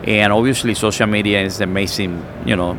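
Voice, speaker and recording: Low-voiced man with a Cuban accent. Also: steady tone, fast, background noise. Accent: Cuban Spanish. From Cuba. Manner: searching for words with many fillers. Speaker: cigar maker. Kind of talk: casual chat.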